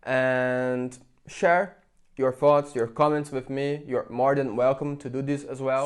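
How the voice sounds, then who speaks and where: A man's voice: mid-range voice, darkish tone. A man from Brazil with a Brazilian Portuguese accent, speaking English in a chatty, rambling opinion vlog full of fillers and restarts. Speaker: vlogger. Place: in England.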